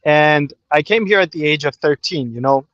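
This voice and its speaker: A man's voice: fairly high pitch, moderate pace, fairly bright tone, no echo, dull audio. A man in his twenties from Pakistan, later Canada, a native Urdu speaker, calling from outdoors and telling his story casually with slangy informality. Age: 20s. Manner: informal, with frequent "you know" and slang. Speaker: caller.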